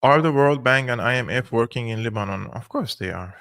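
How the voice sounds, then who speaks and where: A man's voice: medium pitch, expressive, brisk, fairly bright tone, no room sound, studio quality. A man who is a native speaker of Arabic and Armenian, giving live political commentary as a host and analyst, explaining his points emphatically.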